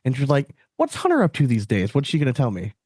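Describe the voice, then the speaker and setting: A man's voice: mid-range voice, huge pitch swings, quick pace, a little mellow, no room sound, studio quality. A man hosting a podcast in casual chat, blunt and prone to swearing. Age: 30s or older. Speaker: podcast host.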